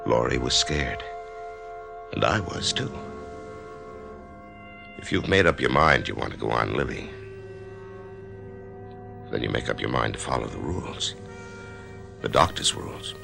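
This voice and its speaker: An actor playing a middle-aged American man facing death, introspective and halting, narrating his own ordeal in a radio drama with a gravelly voice. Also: very deep voice, animated, over music, exaggerated voice. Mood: somber, reflective. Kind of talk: radio drama acting with first-person narration.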